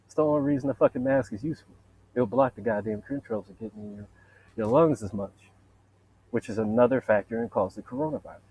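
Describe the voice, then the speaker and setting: A man with a deep voice in a rambling, profane, boastful solo monologue, wandering from point to point. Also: animated, fast, vocal fry, a little mellow, slightly rough, poor audio. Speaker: vlogger.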